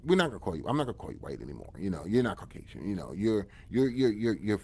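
A man's voice: lowish voice, big pitch swings, racing, darkish tone, breathy edge. A man, talking casually as a guest and rambling with constant repeats of "you get what I'm saying". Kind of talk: casual conversation.

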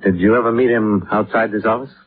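An American actor playing a cool, terse insurance investigator in a vintage radio detective drama, matter-of-fact in manner. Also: male voice, fairly low pitch, fairly even intonation, fairly quick, a little hiss or hum, close to an everyday voice. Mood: probing. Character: probing, terse male insurance investigator, hard-boiled and cool. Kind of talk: 1950s radio detective acting. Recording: muffled, phone-like vintage radio recording.

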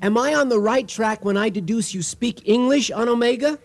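A man's voice: very high-pitched, moderate pace, dry recording. A man playing an earnest, polite earthling making first contact in a comedic radio drama, with courteous, hesitant delivery. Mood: polite, puzzled.

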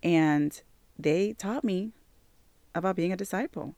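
A woman in her forties giving an earnest personal faith testimony, slightly hoarse from allergies, repeating phrases for emphasis and filling with "like" and "you know". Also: on the low side, unhurried, mellow, no room sound. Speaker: church member sharing her testimony.

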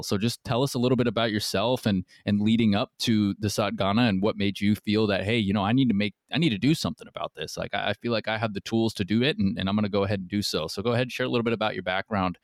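A man's voice: on the low side, no echo, studio quality. A man hosting a podcast, asking long rambling questions with enthusiasm. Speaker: podcast host. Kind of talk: podcast interview.

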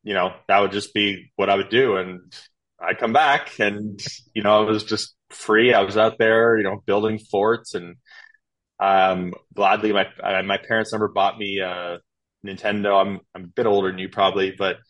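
Chatty man, low voice. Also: little pitch movement, moderate pace, a bit bright, rough recording. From Canada. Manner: rambling, with frequent fillers and restarts. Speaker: podcast guest. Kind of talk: casual interview storytelling.

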